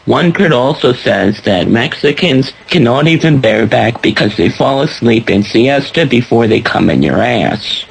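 A man co-hosting a crude casual chat, teasing in a deadpan way. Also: mid-range voice, measured pace, vocal fry, few pauses, slight background noise, poor audio.

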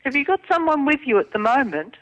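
A helpline operator, a woman with a bright, crisp tone. She asks her questions patiently and politely over the phone. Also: high voice, big pitch swings, fast, muffled recording, slight background noise. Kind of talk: phone call.

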